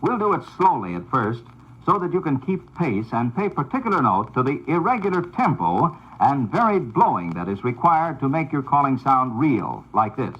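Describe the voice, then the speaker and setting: A man giving scripted, formal instructional narration on an old 78rpm record, in a measured, announcer-like delivery. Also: medium pitch, very animated, fairly bright tone, slightly rough, highs cut off.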